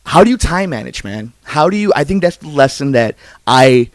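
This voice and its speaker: A man's voice: a little high, very animated, moderate pace, no echo. A man hosting a podcast, speaking in a chummy way as he does promotional reads and casual interviews.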